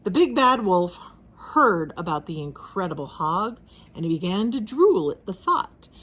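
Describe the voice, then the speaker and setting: A woman reading a children's storybook aloud in a dramatic, expressive narrating style. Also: on the low side, measured pace, husky.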